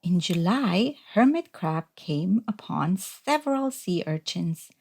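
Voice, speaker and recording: A woman reading a children's story aloud as narrator, in a deliberate, expressive storytelling manner. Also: lowish voice, gravelly, husky. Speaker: storybook reader.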